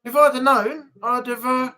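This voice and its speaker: Woman, livestream host, casual rambling chat, slightly high voice. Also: fairly even intonation, moderate pace, pushed and bright. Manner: chatty, rambling, with fillers. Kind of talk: casual live chat to viewers.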